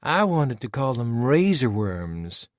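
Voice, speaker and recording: A man's voice: mid-range voice, sing-song, slow pace, no echo, studio quality, voice pushed up. A man voicing a sly, teasing female villain.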